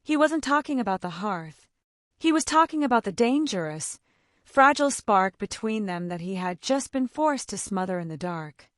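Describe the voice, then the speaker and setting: A woman narrating a scripted romance story in an even, measured way. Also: medium pitch, no room sound, studio quality.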